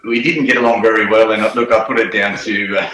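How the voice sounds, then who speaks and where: Male guest, 40s, Australian accent, bright lower voice, easygoing storyteller. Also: fast talker. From Australia, New South Wales. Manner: relaxed storyteller, frequent fillers and laughs. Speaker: guest, former footballer. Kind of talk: casual interview chat. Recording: roomy echo.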